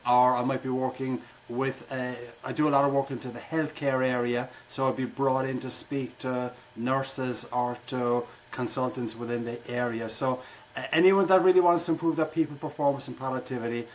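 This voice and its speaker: A man's voice: mid-range voice, fairly even intonation, normal speed, slight background noise, poor audio. A middle-aged or older man with an Irish accent, a keynote speaker answering conversationally in an interview with wry, jokey turns of phrase. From Ireland.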